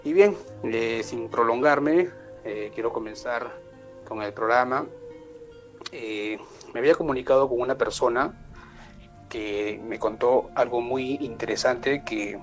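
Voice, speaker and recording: A Peruvian man hosts a live online show in a relaxed, welcoming way, his speech full of filler words. He sits at an everyday middle pitch. Quiet music plays faintly beneath his voice. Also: a little slow. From Peru. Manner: warm welcoming with frequent filler "eh" and "este". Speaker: host. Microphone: video call.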